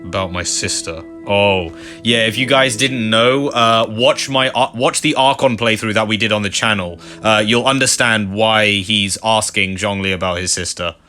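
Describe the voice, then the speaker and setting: A woman with an extremely low voice hosts a video game playthrough. She comments to viewers and reads on-screen dialogue aloud, with faint music behind her. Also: normal speed, few pauses, pushed and bright. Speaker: gaming video host.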